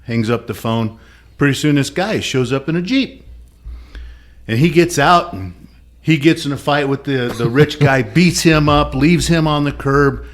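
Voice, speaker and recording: A man's voice: on the high side, measured pace. A man on a casual podcast telling a long joke and acting out its quotes. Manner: storytelling with quoted voices.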